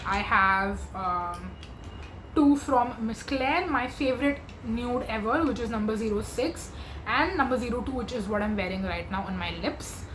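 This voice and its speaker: Chatty young woman, slightly hoarse. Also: fairly high pitch, moderate pace, a bit bright, roomy sound, slight background noise, rough recording. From India. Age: 20s. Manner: chatty and gushing, with many fillers. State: losing her voice. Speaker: beauty vlogger. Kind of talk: casual makeup chat to camera.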